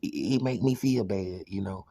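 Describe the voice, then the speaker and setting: Gravelly husky man rambling. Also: low-pitched, moderate pace, warm tone, dry recording. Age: 30s. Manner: rambling, repeats "you know" constantly. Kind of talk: rambling personal monologue.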